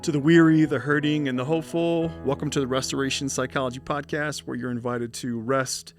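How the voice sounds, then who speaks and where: A man's voice: mid-range voice, steady tone, brisk, faint music behind. A man hosting a podcast, interviewing a guest with loose, winding questions. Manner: encouraging, asks rambling questions. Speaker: podcast host. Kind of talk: casual interview conversation.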